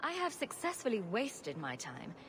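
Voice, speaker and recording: Wry adventurer heroine, medium pitch, confident sarcasm, game dialogue with slight background noise. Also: female voice, huge pitch swings, normal speed, fairly bright tone. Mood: dry, teasing. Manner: dry, wry. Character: sarcastic, self-assured female rogue hero. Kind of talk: video game voice acting.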